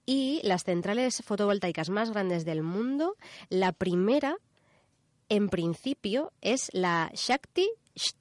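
A woman's voice: medium pitch, expressive, takes it slowly, no echo. A woman in her thirties with a Spanish accent from Spain, hosting a radio show in casual, colloquial chat and explaining as she goes. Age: 30s.

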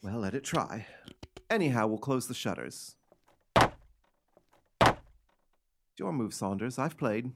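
A man's voice: mid-range voice, lively intonation, slow, vocal fry, pauses often, background noise. An actor playing an imperious, irritable invalid man in a radio play, bossy and impatient with fear underneath. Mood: defiant, tense.

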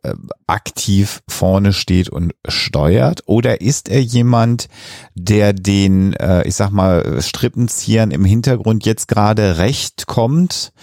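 Low-voiced male podcast host, dry sound. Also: takes it slowly, a little mellow, no room sound. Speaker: podcast host interviewing a guest. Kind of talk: conversational interview questions.

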